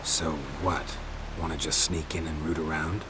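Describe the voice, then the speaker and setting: An actor playing a world-weary, wry monster hunter in a fantasy game, speaking in terse, deadpan lines. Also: male voice, very deep voice, normal speed, very noisy. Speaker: main character of a fantasy video game. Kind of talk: game cutscene dialogue. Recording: loud music behind the voice.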